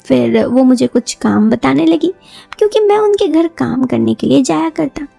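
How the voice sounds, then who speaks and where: A woman's voice: high-pitched, lively intonation, moderate pace, creaky, dark tone, no echo, soft background music. A woman narrating a first-person story in a confiding, conversational way, acting out bits of dialogue.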